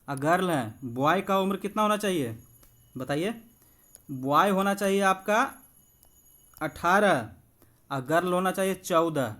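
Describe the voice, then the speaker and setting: A man's voice: a little high, a bit bright. A man works through exam quiz questions as a coach. He explains in an instructive way, talking to his viewers familiarly, like friends. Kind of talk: quiz walkthrough lesson with explanations.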